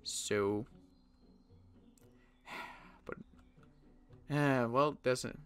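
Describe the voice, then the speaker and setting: World-weary man, bright crisp voice. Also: medium pitch, faint music behind. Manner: halting, restarts often, sighs, world-weary. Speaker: roleplay player and channel host. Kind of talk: in-character Minecraft roleplay.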